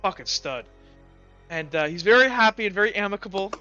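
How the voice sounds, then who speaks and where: High, bright-voiced man running a game. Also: lively intonation, highs cut off, soft background music. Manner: halting, conversational. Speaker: game master. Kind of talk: casual improvised tabletop game narration.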